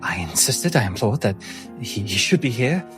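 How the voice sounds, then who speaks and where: A man's voice: on the low side, big pitch swings, moderate pace, music in the background. A man voicing a timid, deferential female servant mystic in a tabletop game, with a hesitant, stammering and very formal manner. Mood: anxious, reluctant.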